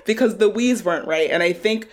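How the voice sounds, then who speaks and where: A woman in her twenties, a music journalist guest talking in a casual podcast discussion, animated and quick with laughing asides. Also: mid-range voice. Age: 20s.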